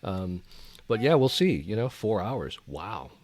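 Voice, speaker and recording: A man co-hosting a podcast, discussing films casually in a measured, conversational way. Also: on the low side, big pitch swings, darkish tone. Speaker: podcast co-host. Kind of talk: casual chat about films.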